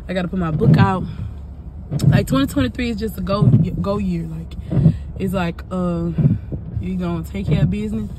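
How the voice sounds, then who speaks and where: Congested young woman vlogger, chatty and rambling, phone mic, car noise clearly behind her. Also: on the low side, moderate pace. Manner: chatty, rambling, swears casually. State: congested, coughing. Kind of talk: casual vlog chat. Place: inside a parked car. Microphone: phone held low at arm's length.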